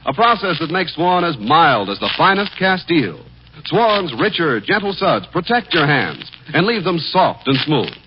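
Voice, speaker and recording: Animated old-time radio announcer man, noisy recording. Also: on the high side, moderate pace. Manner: emphatic, polished salesmanship. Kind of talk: 1940s radio commercial pitch. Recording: noisy, dull vintage 1940s radio recording.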